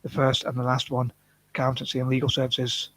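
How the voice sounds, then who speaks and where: A man from the UK rambles through a casual vlog in a flat, monotone voice with a husky edge. He hesitates often, restarting his sentences and apologizing for waffling. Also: medium pitch, fairly quick, dry recording. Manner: hesitant, many restarts and fillers, self-conscious. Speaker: vlogger.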